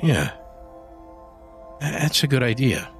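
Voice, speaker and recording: A man playing a patient, gentle husband. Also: mid-range voice, sing-song, slow, soft background music, pitched above the performer's own voice. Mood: calm.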